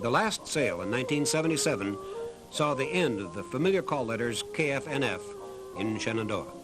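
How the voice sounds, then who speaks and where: A man reading scripted documentary narration in a measured, earnest manner. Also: mid-range voice, lively intonation, music in the background, rough recording.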